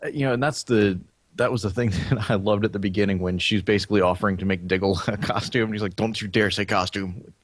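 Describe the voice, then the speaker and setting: Low-pitched man in casual podcast chat. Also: fairly even intonation, fast, no echo. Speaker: podcast co-host.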